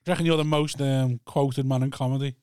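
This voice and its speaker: A man's voice: medium pitch, fairly even intonation, fast talker, a little mellow, clean tone, no echo, studio quality. A man cohosting a podcast, chatting in a jokey way and swearing casually. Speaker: podcast co-host.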